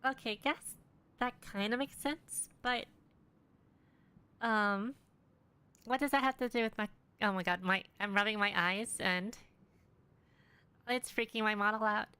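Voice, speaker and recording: A woman's voice: medium pitch, fairly even intonation, measured pace, pauses often, pushed and bright, clean tone. A woman game streamer giving casual live commentary as she reacts to a story.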